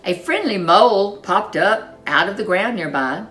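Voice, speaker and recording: A woman storyteller reading a children's storybook in an expressive, animated way, with dramatic emphasis. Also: mid-range voice, measured pace, faint music behind.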